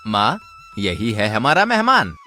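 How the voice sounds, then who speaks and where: A man playing a scheming village swindler in a Hindi folk tale, expressive and theatrical. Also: mid-range voice, a little slow, soft background music, heavily acted. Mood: matter-of-fact.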